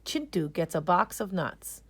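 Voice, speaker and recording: A woman narrates a children's storybook. She reads slowly and deliberately, with very animated intonation. The recording is close and dry, with no room sound. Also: on the low side, slightly rough, no echo. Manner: expressive, deliberate. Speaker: storybook reader. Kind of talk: children's read-aloud narration.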